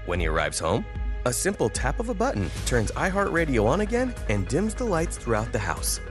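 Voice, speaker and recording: A man's voice: medium pitch, wildly swooping pitch, a little fast. A man narrating a scripted radio advert told as a short story. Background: music.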